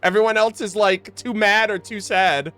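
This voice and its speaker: A man's voice: sits high, fairly even intonation, moderate pace, sharp tone, smooth. A man streaming a game, giving casual, slangy, joking reaction commentary.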